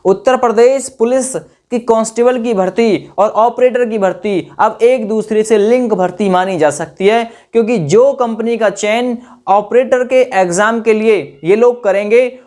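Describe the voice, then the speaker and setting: A man's voice: high voice, measured pace, few pauses, darkish tone. A man presenting an exam update to students informally, addressing listeners directly, repeating phrases and adding tag questions.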